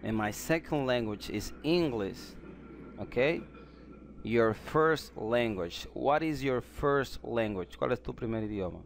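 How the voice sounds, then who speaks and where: A man whose first language is Spanish, teaching an English lesson in Spanish and slowly repeating phrases in both languages. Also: mid-range voice, lively intonation, creaky, slight background noise.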